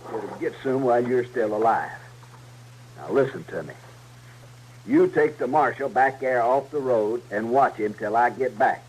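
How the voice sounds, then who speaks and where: An actor playing a bullying, hot-tempered outlaw with a sarcastic, menacing rural Western American drawl in a radio drama. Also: male voice, mid-range voice, normal speed, darkish tone, natural voice. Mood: threatening. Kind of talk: old-time radio Western acting. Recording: slightly noisy vintage radio recording.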